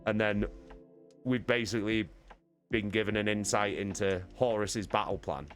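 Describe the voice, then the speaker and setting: A man's voice: on the low side, fairly even intonation, normal speed, faint music behind. A man, chatting loosely through a book recap on a livestream, with fillers and the odd swear word. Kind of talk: casual book recap chat.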